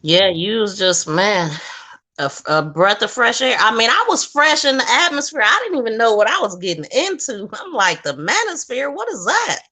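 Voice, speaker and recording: A woman's voice: fairly high pitch, big pitch swings, normal speed, sharp tone. A woman from Ohio, a guest in a casual conversation, talking bluntly and laughing often.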